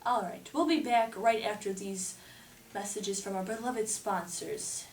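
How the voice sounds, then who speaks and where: A woman's voice: mid-range voice, fairly even intonation, a little slow, a little mellow, natural voice. A woman playing a flighty, flirtatious, slangy 1920s news anchor in an amateur mock newscast. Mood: mock serious. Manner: theatrical, slangy. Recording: echoey room, distant mic, faint noise.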